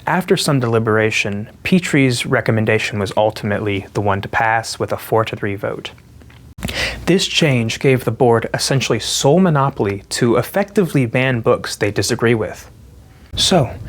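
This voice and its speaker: A young man presenting a student news report, reading from notes with some filler pauses. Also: medium pitch, animated. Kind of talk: scripted news-style narration.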